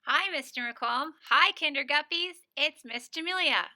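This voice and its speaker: A woman's voice: high-pitched, moderate pace, sharp tone. A woman co-reading a storybook aloud as a guest narrator for young children.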